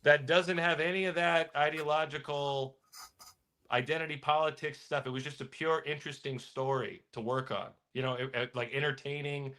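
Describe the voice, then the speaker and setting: A man's voice: on the high side, fairly even intonation, moderate pace, a bit bright. A man in his thirties, a comic book artist guest, talking in a rambling way with frequent laughs in a casual interview. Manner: rambling, laughing between phrases.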